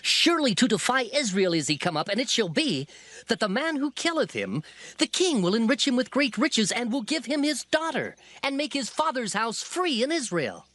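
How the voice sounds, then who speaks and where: An actor playing an excited, alarmed soldier in a dramatized scripture audiobook. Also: male voice, extremely high pitch, moderate pace, no echo, exaggerated voice. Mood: excited rumour.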